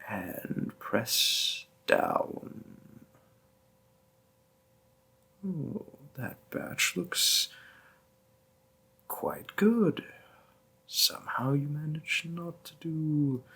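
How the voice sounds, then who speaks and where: A man playing a gentle, doting demon boyfriend in an intimate audio roleplay, speaking tenderly in a hushed, husky, creaky voice. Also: medium pitch, full character voice. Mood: playful, warm. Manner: slow, hushed, tender, with pauses.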